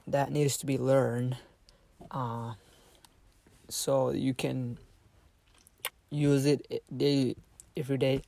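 Slow, halting male language teacher. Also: mid-range voice, no echo. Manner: halting, many fillers and restarts, repeats phrases slowly for learners. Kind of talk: casual online language lesson.

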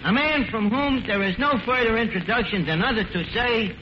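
An actor playing a pompous, slangy tavern manager who mangles big words in mock-formal speeches in a 1940s radio comedy. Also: male voice, very high-pitched, moderate pace. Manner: mock-formal, malapropisms, hesitant. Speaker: comic master of ceremonies. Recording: noisy, dull 1940s radio recording.

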